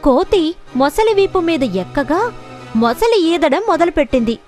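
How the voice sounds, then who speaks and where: A woman with a high, very animated voice reads a children's story. She tells it expressively, with soft music underneath. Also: unhurried. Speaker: story narrator. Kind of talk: scripted children's story narration.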